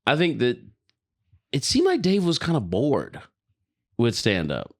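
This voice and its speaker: A man's voice: medium pitch, wildly swooping pitch, measured pace. A man co-hosting a podcast, chatting casually and giving his opinions in slangy talk peppered with swearing. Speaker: podcast co-host.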